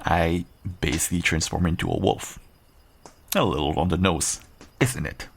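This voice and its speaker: A man playing a calm, protective werewolf rogue in a fantasy roleplay audio drama, speaking in an earnest, measured way. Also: sits low, gravelly, husky, full character voice. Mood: calm, friendly.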